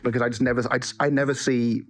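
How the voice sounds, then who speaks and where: Guy with a normal pitched voice, keeps restarting, pushing his point hard. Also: little pitch movement. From half West African parentage. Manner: presses pointedly, halting restarts. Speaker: live stream participant. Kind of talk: casual debate.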